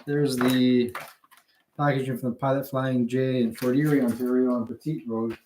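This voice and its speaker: A man's voice: medium pitch, fairly even intonation, a little mellow, poor audio. Rambling middle-aged man over faint noise. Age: 50s. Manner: rambling, halting, digressive. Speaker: hobbyist video host. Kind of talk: casual unscripted product demonstration. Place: indoors at home. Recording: home recording with faint background noise.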